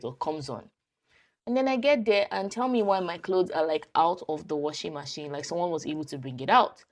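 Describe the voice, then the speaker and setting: A woman vlogging from her apartment, telling a story in a chatty way and laughing often. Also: low-pitched. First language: English. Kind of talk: casual vlog storytelling.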